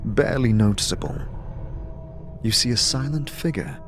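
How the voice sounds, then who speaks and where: A man narrating a fantasy audio drama in the second person, dramatic and measured like a game master. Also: medium pitch, animated, faint music behind.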